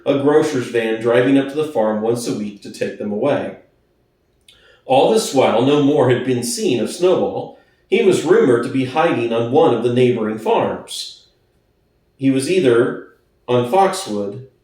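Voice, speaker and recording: A man's voice: mid-range voice, a little mellow. Man narrating dramatically, very echoey room. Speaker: audiobook narrator. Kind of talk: dramatic scripted narration of a classic novel. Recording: very echoey room, distant from the mic.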